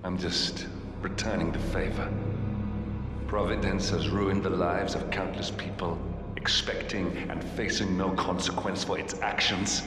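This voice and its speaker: Game rebel leader, low voice, measured, bitter, resolute, reverberant hall, music behind. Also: male voice, steady tone. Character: vengeful male rebel leader, grave and resolute. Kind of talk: video game cutscene acting.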